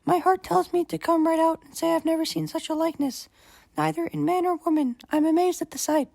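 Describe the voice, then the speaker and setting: A man's voice: very high voice, flat delivery, brisk, vocal fry, a little mellow, dry recording, voice pushed up. A man voicing a refined, remorseful queen in formal epic dialogue for an audiobook. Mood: wondering, self-reproachful. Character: refined, remorseful queen, an adult woman, breathy falsetto.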